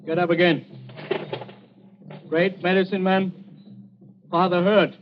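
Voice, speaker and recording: A grave young chief's son in old radio drama style. He demands things in slow, clipped pidgin English, high for a man. The recording is dull, with some music behind it. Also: little pitch movement. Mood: solemn, commanding. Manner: halting pidgin English in short clipped phrases. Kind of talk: 1940s radio acting.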